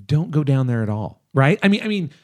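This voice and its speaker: A man's voice: a little high, sing-song, a little fast. A man co-hosting a podcast, casually discussing and explaining his opinions in a review style. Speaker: podcast co-host.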